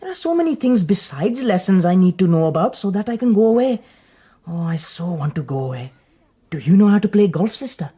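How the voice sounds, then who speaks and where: A woman's voice: mid-range voice, very animated, brisk, warm tone, husky. A performer playing an eager, naive, courteous young nobleman in a radio drama, asking things politely and earnestly. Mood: earnest pleading.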